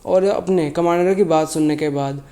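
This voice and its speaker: A man's voice: a little high, fairly even intonation, normal speed, dark tone. A man with a sore throat casually recapping a story, often correcting and rephrasing himself as he goes. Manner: loose, self-correcting, often rephrases himself mid-sentence. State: sore throat, feeling unwell. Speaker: manhwa recap narrator.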